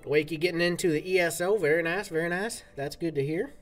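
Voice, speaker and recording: Streamer, male, drawl, folksy chatter, slightly high voice, faint music behind. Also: fast talker. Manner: folksy cowboy-style talk. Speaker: game streamer. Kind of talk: casual live-stream chat. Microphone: desk mic, game audio from a speaker.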